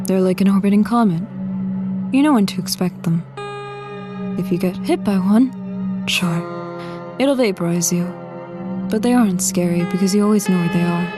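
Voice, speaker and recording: A woman giving reflective, wistful scripted first-person narration in an audio drama, with music underneath. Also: mid-range voice.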